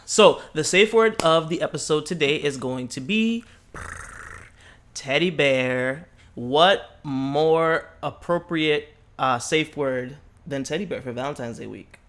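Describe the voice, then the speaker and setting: A man's voice: on the high side, slow, a bit bright. A young man co-hosting a casual podcast, teasing and joking with his co-host and laughing often. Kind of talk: casual chat.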